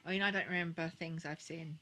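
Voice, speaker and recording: A woman's voice: low voice, steady tone, fast, pushed and bright, slight background noise. A woman guesting on a podcast, chatting casually and repeating phrases for emphasis. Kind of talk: casual chat.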